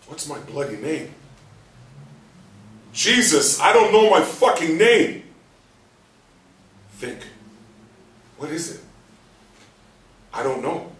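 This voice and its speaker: Man playing frightened trapped patient, halting anguished self-talk, very slow, stage play reading, distant mic. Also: mid-range voice, a bit bright. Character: frightened, confused man trapped in his paralysed body, sweary. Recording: roomy, distant mic, faint noise.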